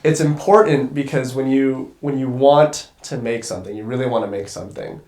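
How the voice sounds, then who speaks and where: A man's voice: mid-range voice, brisk, a little mellow. Congested young man in a roomy space. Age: young adult. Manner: rambling, swears casually, restarts often. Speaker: guest cinematographer. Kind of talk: casual podcast conversation.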